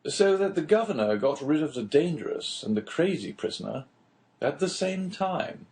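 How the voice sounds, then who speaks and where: An audiobook character, acted by a male reader: a courteous English gentleman who keeps himself in check. He asks in a measured, slightly lifted voice, politely inquisitive. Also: fairly high pitch, sing-song, pitched above the performer's own voice. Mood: politely curious. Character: reserved, courteous Englishman, feigning slow comprehension, quietly probing.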